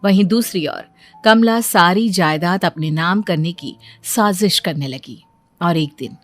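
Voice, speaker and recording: A woman's voice: fairly low pitch, measured pace, soft background music. A woman reading scripted narration for a cartoon bedtime story as its storyteller.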